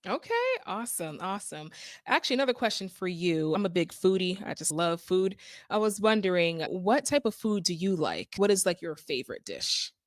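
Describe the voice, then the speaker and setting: A woman's voice: on the low side, animated, normal speed, dry recording, studio quality. A woman with a Northeastern US accent interviewing a guest, bubbly and laughing often. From Connecticut. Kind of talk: upbeat casual interview.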